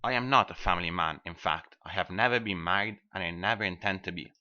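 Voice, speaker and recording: A man's voice: deep voice, fast, bright, forceful tone, muffled recording. A man playing pompous, selfish, self-satisfied storybook characters, a rich miller and a water rat, in an acted fairy-tale reading. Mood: haughty. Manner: pompous, emphatic.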